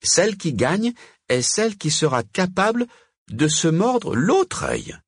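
A man's voice: on the high side, big pitch swings, slow, breathy edge, dry recording, pitched above the performer's own voice, full character voice. A man voicing a very old, coquettish storybook queen who is sly, teasing and self-assured. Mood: sly, firm.

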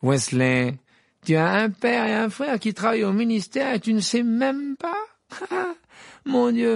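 A man's voice: on the high side, vocal fry, no room sound, clean studio sound, voice pushed up, full character voice. A man voicing a spoiled, sneering teenage wizard boy who mocks and gloats. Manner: mocking, gloating, laughing.

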